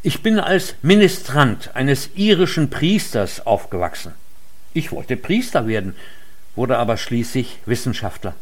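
A man delivering a formal lecture in a measured, earnest way. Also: mid-range voice, big pitch swings. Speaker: lecture speaker. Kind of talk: formal read speech.

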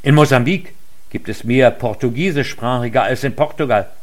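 A man delivering a formal lecture in a measured, earnest way. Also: medium pitch. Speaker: lecture speaker. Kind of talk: formal read speech.

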